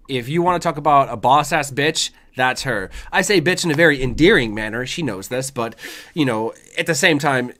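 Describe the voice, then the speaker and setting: A man with a slightly hoarse voice hosting a casual chat show, rambling in a laid-back, slangy and sometimes profane way. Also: medium pitch, fairly bright tone. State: hoarse from voice work. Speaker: show host.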